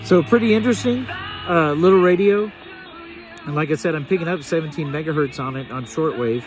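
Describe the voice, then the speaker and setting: A man's voice: a little high, brisk, faint music behind, rough recording. A man giving a casual, hands-on gadget demo, unscripted and musing aloud as he tinkers. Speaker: vlogger reviewing a radio.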